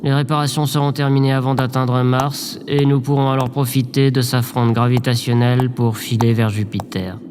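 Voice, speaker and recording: A man's voice: medium pitch, little pitch movement, normal speed, few pauses, close to an everyday voice. A man playing a meek, dejected, obedient aide in an audio drama, formal, hesitant and deferential. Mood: dutiful. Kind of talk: scripted audio drama acting. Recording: long hall reverb, clear background noise. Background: ambient noise.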